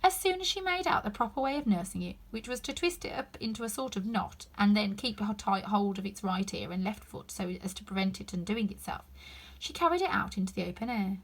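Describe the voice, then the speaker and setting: A woman narrates a story for children. Her delivery is expressive and emphatic, with pitch that moves up and down a lot. The voice has a soft husky breathiness. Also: brisk, rough recording. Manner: animated, emphatic. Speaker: storybook reader.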